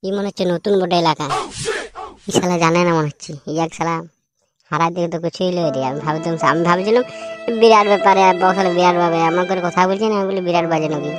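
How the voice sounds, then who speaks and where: A woman's voice: fairly low pitch, steady tone, fast talker, faint music behind, pitched below the performer's own voice, lightly acted. A woman voicing a grumbling rival male village DJ with a Purulia Bengali dialect, muttering and swearing to himself.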